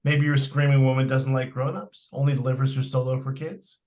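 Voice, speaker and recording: A man's voice: mid-range voice, flat delivery, brisk, darkish tone, lightly acted. A man playing a patronizing, skeptical father in an old-time radio drama, with indulgent, slightly stammering delivery. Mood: dismissive. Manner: hesitant stammers, indulgent, dismissive. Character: patronizing, skeptical middle-aged father of a young girl. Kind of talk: 1940s radio drama acting.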